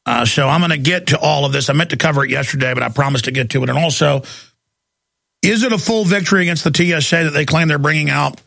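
American male radio host, fairly high but gravelly, opinionated monologues, tight dry studio sound. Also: quick pace, bright, forceful tone, no room sound. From United States. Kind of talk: live call-in political talk radio.

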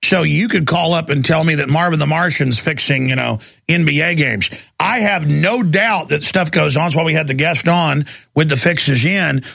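Gravelly, forceful American talk radio host. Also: male voice, fairly high pitch, normal speed, no echo. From United States. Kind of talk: live call-in political talk radio.

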